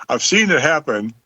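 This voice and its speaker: A man's voice: on the high side, sharp tone, husky, dry recording. A man talking casually as a participant on a chat show. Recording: dull, dry lo-fi remote audio.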